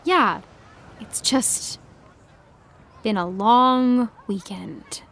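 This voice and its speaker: A young woman playing a blunt, disillusioned eighteen-year-old fan writer in an audio drama, with a sardonic, halting delivery. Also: a little high, creaky, fairly bright tone, heavily acted. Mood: subdued, awkward. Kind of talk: naturalistic audio drama dialogue.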